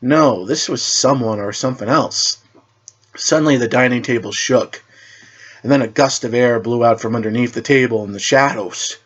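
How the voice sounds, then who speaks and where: A man narrates a story like an amateur reader. His voice sits at a medium pitch and he stumbles now and then, repeating words. The recording is dull and lo-fi. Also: slightly rough. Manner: halting, stumbles and repeats words while reading. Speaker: narrator reading own superhero story.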